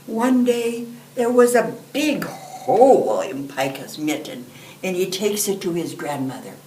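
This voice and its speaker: An elderly woman reading a picture book aloud to children in an expressive, deliberate storytelling manner. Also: fairly low pitch. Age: 70s or older. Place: indoor room, seated. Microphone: camera a few feet away. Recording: roomy, distant microphone, background hiss.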